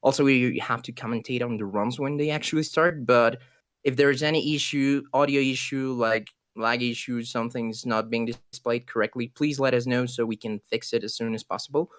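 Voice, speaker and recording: A man's voice: medium pitch, steady tone, moderate pace, a bit bright, no room sound. Man casting casually, Ecuadorian Spanish accent, dry sound. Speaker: esports commentator. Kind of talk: casual livestream casting, improvised chat.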